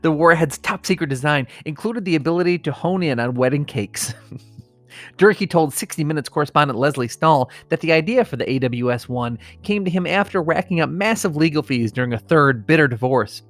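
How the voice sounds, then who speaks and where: A man's voice: fairly high pitch, animated, a little fast, no room sound, faint music behind. An American man hosting a comedy podcast, reading mock obituaries with strained solemnity and chatting casually. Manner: mock-solemn reading that keeps breaking into suppressed laughter.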